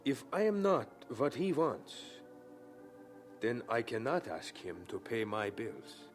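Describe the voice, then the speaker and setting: A devout young German student in a radio drama. His delivery is slow and fervent, with wide, expressive swings in pitch. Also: faint music behind. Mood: earnest conviction. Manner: earnest, deliberate, fervent.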